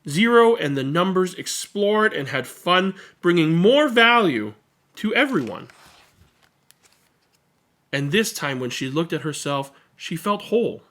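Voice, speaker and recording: A host reading a picture book aloud with animated narration and chatting casually about it. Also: medium pitch, measured pace.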